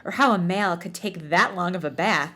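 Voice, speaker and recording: A woman's voice: fairly low pitch, a little fast, bright, forceful tone. A woman podcast co-host reading fan fiction aloud for comedy, with giggly, playfully theatrical delivery and casual banter.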